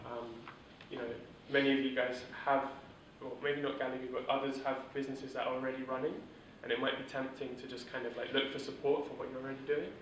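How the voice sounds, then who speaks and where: A man leads a workshop in a loose, conversational teaching style with plenty of ums and restarts. His voice stays flat and even in pitch. It sounds caught by a distant mic in a very echoey room with a faint hiss underneath. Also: quick pace, few pauses. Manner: steady, monotone delivery with filler words. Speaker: workshop instructor. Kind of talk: informal teaching talk.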